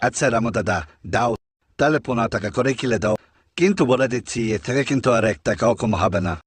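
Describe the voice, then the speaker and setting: A man's voice: mid-range voice, fast, slightly rough, no room sound, close to an everyday voice. A man playing a long-suffering village vendor in a cartoon, placating and exasperated. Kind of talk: comic cartoon acting in Bengali.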